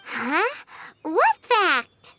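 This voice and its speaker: A woman voicing a tiny, childlike fairy companion in a video game, squeaky, blunt and chirpy, with cutesy exclamations. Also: wildly swooping pitch, very slow, sharp tone, full character voice. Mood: puzzled, blunt. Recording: playful game music behind.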